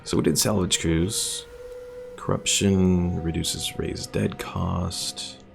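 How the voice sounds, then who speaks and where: Male streamer, 30s, deep and gravelly, thinks aloud over quiet game music. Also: steady tone, slow. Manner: thinks aloud while weighing choices. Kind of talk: casual gameplay commentary.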